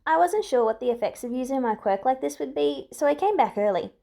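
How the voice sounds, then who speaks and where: A woman's voice: high-pitched, expressive, brisk, gravelly, mellow, pitched above the performer's own voice. Woman doing a young heroine, kindly, self-assured, cheerful, audiobook character. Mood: matter-of-fact. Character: bright, confident, kindly teenage girl hero.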